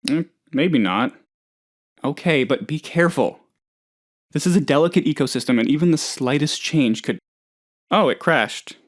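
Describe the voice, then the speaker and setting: A man voicing a naive, excitable oddball office boss in a video game, chatty and rambling, with hesitant, earnestly gullible delivery. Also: a little high, normal speed, pauses often. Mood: wary. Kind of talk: video game character dialogue.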